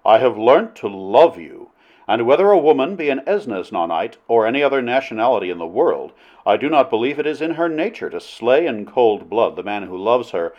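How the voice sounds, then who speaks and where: Bold adventurer hero, voiced by a male reader: impassioned, archaic oratory, very animated pitch, slightly dull sound. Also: normal speed, lightly acted. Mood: ardent, daring. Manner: impassioned, declamatory, archaic formal speech. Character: bold, cunning adventurer hero wooing a princess. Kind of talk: audiobook character voice in old adventure romance.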